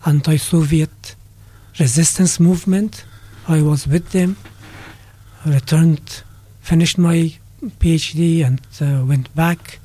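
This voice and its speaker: An older man from Afghanistan, a retired politics lecturer, answering interview questions thoughtfully with many pauses and restarts. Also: on the high side, mellow, no room sound. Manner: thoughtful, with frequent hesitations and restarts.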